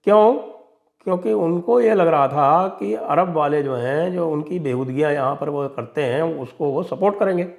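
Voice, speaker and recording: A man's voice: fairly high pitch, moderate pace, creaky, a little mellow. A man delivering opinionated political and religious commentary in an emphatic, sarcastic and mocking manner. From India. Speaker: commentator and show host.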